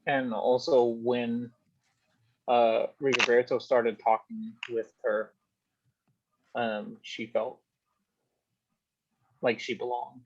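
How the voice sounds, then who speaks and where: A male student giving hesitant answers to questions, pausing to think as he goes. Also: mid-range voice, level tone, breathy edge, muffled recording. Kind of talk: halting spoken answers.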